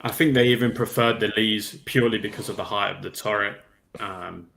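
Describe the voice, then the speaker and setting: A man's voice: fairly low pitch, fairly even intonation, a little fast, gravelly, fairly bright tone, slight background noise, poor audio. A man on a livestream panel giving his opinions in a casual hobby discussion, with tentative asides.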